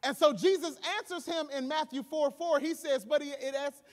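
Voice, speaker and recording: High-pitched male preacher in a reverberant hall. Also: moderate pace. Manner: conversational, emphatic, humorous asides. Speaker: pastor. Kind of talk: animated sermon to a congregation. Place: large stage in an auditorium. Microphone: handheld microphone.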